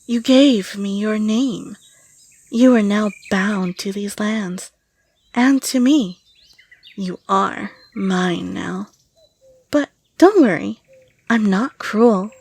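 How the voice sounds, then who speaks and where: A woman performs a flirtatious female fae in an audio drama. She is coy and possessive, drawing out her words at an easy pace. The voice is a little husky, with a teasing, sultry manner. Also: mid-range voice, slow, pauses often, a little mellow, no echo. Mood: possessive, gloating. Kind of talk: scripted audio roleplay.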